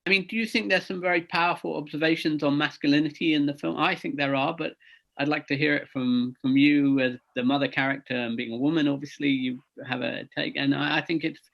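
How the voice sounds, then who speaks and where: A man interviewing two actors, attentive and quick with short agreements. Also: on the high side, fairly even intonation, gravelly, dull audio. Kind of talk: interview questions.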